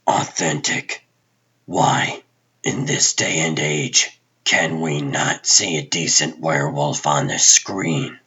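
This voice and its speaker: A man voicing a demanding, irritable television producer in a comic book dub, curt and deadpan. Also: a bass voice, slow, slightly rough, exaggerated voice. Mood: irritated, insistent.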